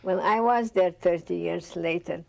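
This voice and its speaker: A woman's voice: lowish voice, moderate pace, gravelly, dark tone, dry recording. An older woman from Bessarabia with a Russian accent, telling her life story in a seated studio interview and acting out the dialogue she remembers. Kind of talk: oral history interview. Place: studio with dark curtain backdrop, seated.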